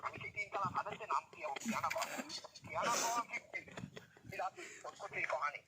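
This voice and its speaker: A man's voice: high voice, fast talker. A man talking in a phone conversation, on the receiving end of a call over a noisy line. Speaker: prank call recipient. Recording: noisy telephone line with clicks.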